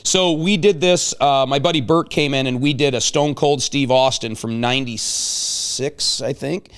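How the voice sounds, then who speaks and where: A man's voice: mid-range voice, measured pace, a bit bright, smooth. A man hosting a casual talk show, chatting with guests in a playful, joking way. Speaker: show host.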